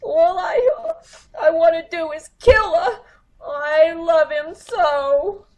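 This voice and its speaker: A woman's voice: very high voice, slow, muffled recording. A woman playing a brassy, lovesick gun moll in an old-time radio comedy-mystery, slangy and melodramatic. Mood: tearful, lovesick. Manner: slangy, melodramatic, tearful outbursts over her love.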